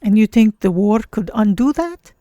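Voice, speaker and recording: A streamer voicing an easygoing cat-folk adventurer in a fantasy game, measured and wry, with a creaky voice. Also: mid-range voice, animated, dark tone, no room sound, clean studio sound, lightly acted. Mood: wry, doubtful. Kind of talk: in-character game stream roleplay.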